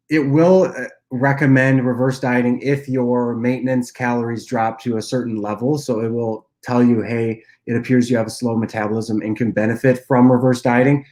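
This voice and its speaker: A young man with a nasal voice from a cold, casually answering viewer questions on a livestream and often clearing his throat. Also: mid-range voice. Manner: relaxed, loose delivery with frequent uhs and throat clearing. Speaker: app cofounder and dietitian hosting a Q&A livestream.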